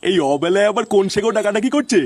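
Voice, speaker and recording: Man as a high-pitched, grumbling cartoon grandpa. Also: rapid-fire, faint music behind, close to an everyday voice. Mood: irritable. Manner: grumbling, crude. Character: grumpy, doting old grandfather, crude-tongued. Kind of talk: comic cartoon dub.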